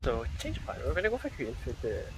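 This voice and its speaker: A man's voice: medium pitch, wildly swooping pitch, fast talker, background noise. Chatty young man vlogging outdoors. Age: young adult. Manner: chatty, upbeat, rambling asides. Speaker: travel vlogger. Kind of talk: casual travel vlog narration. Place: outdoors at temples and parks. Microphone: phone camera at arm's length.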